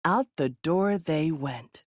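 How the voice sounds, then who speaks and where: A woman reading children's stories aloud in a deliberate, expressive way for young listeners. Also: sits low, no room sound, clean studio sound. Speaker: children's storybook reader. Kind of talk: read-aloud children's story narration.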